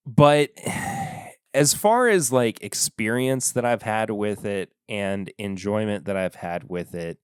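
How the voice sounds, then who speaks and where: A man hosting his own podcast, talking casually through his picks, with lots of fillers and restarts and a slightly hoarse voice. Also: lowish voice, no room sound, clean studio sound. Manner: relaxed, rambling, many fillers and restarts. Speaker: podcast host. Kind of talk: casual solo review talk.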